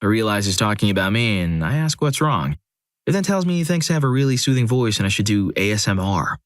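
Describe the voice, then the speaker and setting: A man with a lowish voice reads first-person stories aloud in a steady, scripted delivery. He drops in dry, wry asides between the stories. The sound is close and dead, with no room around it. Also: fast talker, dry recording. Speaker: narrator. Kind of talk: scripted story narration.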